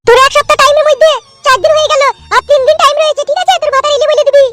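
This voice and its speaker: Shrill, spiteful village wife. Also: female voice, fairly even intonation, rapid-fire, a bit bright, faint music behind. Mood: threatening. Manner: threatening, scolding, foul-mouthed. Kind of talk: comic cartoon dialogue.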